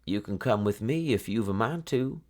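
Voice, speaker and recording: A man voicing a patient, gently stern old country woman in a children's story, with a folksy manner. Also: lowish voice, animated, normal speed, voice pushed up, natural voice. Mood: calm warning. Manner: folksy, muttering hums.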